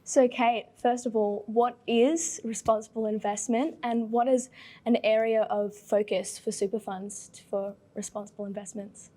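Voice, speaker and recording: Upbeat young woman, higher voice. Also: fairly even intonation, moderate pace, warm tone. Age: 20s. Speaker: law student and panel host. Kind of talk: scripted intro and casual self-introduction.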